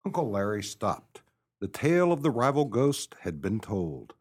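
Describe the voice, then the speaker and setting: A man's voice: on the low side, sing-song, unhurried, slightly rough, no echo, clean studio sound. A man reading scripted narration and announcements as the narrator of a radio theatre show. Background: music.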